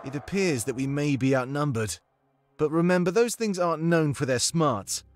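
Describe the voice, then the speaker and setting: A man's voice: on the high side, expressive, moderate pace, no room sound, pitched above the performer's own voice, lightly acted. A man voicing a young, earnest and confident rebel leader in a fantasy visual novel read aloud. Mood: composed, confident.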